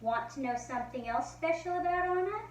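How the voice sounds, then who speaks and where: A woman's voice: high voice, animated, a little fast, voice pushed up. A woman voicing a cheerful mother proud of her daughter in a children's book read-aloud. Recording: roomy echo, far from the mic, faint hum.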